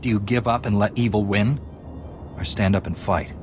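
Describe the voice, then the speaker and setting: An actor playing a composed, resolute knightly hero in a sci-fi video game, speaking in an earnest, measured way. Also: male voice, lowish voice, steady tone, darkish tone, soft background music, natural voice. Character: steadfast, composed young male Jedi knight hero.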